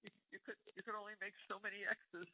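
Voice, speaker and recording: A woman's voice: mid-range voice, level tone, fast talker, bright, forceful tone. An older woman in a private phone conversation, giving short, soothing replies in a casual way. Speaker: mother of the caller. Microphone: telephone. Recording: faint, dull phone line.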